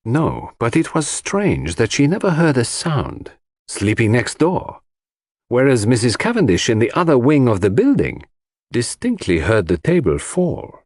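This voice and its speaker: A man voicing a shrewd, courteous, theatrical detective in an audiobook, speaking formally and deliberately. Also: mid-range voice, huge pitch swings, darkish tone, no room sound, clean studio sound, full character voice. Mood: wry, suspicious. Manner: formal, grave, deliberate, questioning. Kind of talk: audiobook character dialogue.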